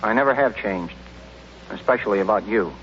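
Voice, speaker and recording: An actor playing an easygoing, teasing young suitor in an old radio comedy, on a muffled recording with hiss. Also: male voice, fairly low pitch, a little fast, a bit bright, close to an everyday voice. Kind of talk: 1930s-40s radio comedy acting.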